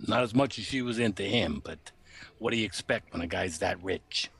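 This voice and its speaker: An actor playing an uneasy working-class chauffeur in a noir radio play, confiding in colloquial, hesitant speech. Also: male voice, on the low side, moderate pace, fairly bright tone. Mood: confiding, gossipy.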